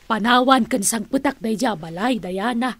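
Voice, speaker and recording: A comic, exasperated auntie with a fairly high, creaky voice. She hesitates and exclaims in radio drama style, recorded dry. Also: normal speed, no echo. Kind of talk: Ilocano radio drama acting.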